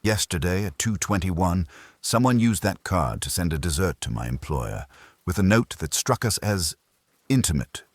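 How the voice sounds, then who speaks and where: A man voicing a brisk, wry detective's legman in an audiobook, direct and pointed. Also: low-pitched, very animated, slightly rough, no echo, clean studio sound, heavily acted. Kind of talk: audiobook character dialogue.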